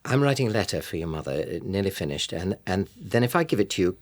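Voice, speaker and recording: A man's voice: sits low, expressive, fast, a little hiss or hum. A man acting in a radio drama as a middle-aged, guilt-stricken father, speaking in an earnest, hesitant, apologetic way. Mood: subdued.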